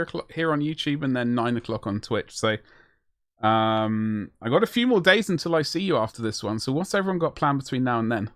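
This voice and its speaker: A man's voice: mid-range voice, fairly bright tone, no echo, studio quality. A man chatting casually on a live stream as he paints miniatures, rambling and self-deprecating as he answers his chat.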